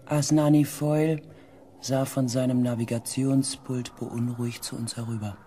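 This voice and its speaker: A man narrating a science fiction radio drama as a reflective crew member, in a hushed, deliberate way. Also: mid-range voice, level tone, slight background noise, close to an everyday voice. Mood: explanatory. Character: reflective crew member recounting a space expedition. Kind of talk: scripted first-person radio drama narration.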